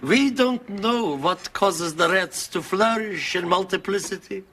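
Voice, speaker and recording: A man's voice: high voice, unhurried, bright, forceful tone, a little hiss or hum. A man playing a flustered, defensive medieval scholar, nervous and fussy in comic rhyming dialogue. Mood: self-important.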